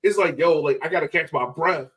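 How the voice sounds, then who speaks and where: A man chatting casually and excitedly in slangy speech as a soccer fan podcast co-host. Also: fairly high pitch, a little fast. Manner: animated, slangy.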